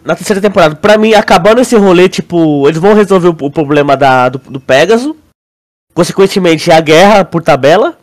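A man's voice: high voice, lively intonation, brisk. A young man with a Brazilian accent in a casual, slangy podcast chat as co-host.

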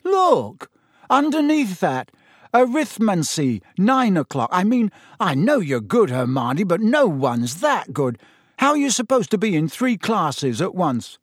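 A man voicing an excitable, disbelieving teenage schoolboy in an audiobook story. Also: sits high, moderate pace, husky, no echo, clean studio sound, voice pushed up, full character voice. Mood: incredulous, amused.

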